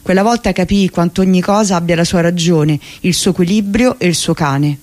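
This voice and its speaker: A reader giving a measured, reflective first-person memoir reading on radio. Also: medium pitch, darkish tone.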